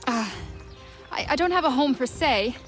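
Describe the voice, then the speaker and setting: A self-reliant huntress of the frontier. Her voice is high, her delivery plain-spoken, proud and guarded, as in a video game drama. Light music runs behind. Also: lively intonation, normal speed. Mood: guarded, frank.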